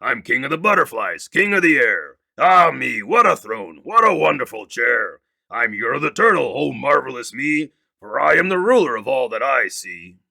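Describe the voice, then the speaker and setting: Loud bossy turtle king bragging and barking orders, big bright voice , a man playing him. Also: mid-range voice, big pitch swings, moderate pace, vocal fry, dry recording, full character voice. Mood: boastful. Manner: pompous, barking, boastful. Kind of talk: storybook read-aloud.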